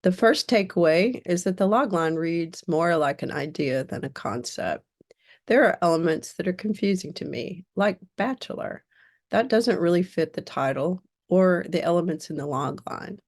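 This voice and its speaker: A woman giving a prepared critique as a reviewer, in a measured, direct and instructive way. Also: on the low side, expressive, darkish tone. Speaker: script reviewer.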